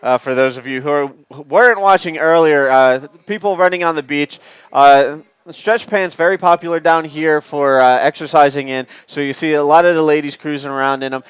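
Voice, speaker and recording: Male play-by-play caller, big booming voice, smooth dry tone, playful. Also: fairly quick, no room sound. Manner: booming voice, joking, explains details. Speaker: commentator. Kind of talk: live sports commentary.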